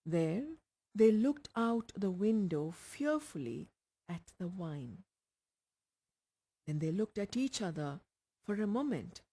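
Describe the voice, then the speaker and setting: A woman's voice: fairly low pitch, dark tone, husky. A woman with an Indian accent reading a short story aloud as scripted narration. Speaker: audiobook reader.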